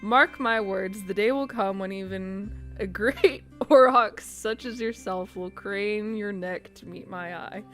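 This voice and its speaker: A woman's voice: mid-range voice, fairly bright tone, soft background music, natural voice. A woman voicing a proud, touchy teenage elf boy with a formal, lofty manner for a video game. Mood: huffy, proud. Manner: formal, lofty, drawn-out emphasis.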